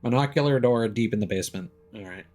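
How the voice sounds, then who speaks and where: A man's voice: medium pitch, quick pace, a little mellow. A man giving casual live commentary as he plays a game, with faint game sound behind him. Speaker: game streamer.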